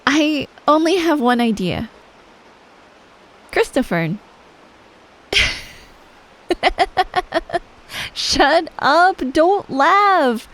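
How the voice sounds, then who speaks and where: A woman playing a shy, awkward young best friend in an ASMR roleplay, hesitant and soft-spoken, speaking straight to the listener. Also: sits high, expressive, extremely slow, long pauses, heavily acted, poor audio. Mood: playful, teasing. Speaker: audio roleplay performer.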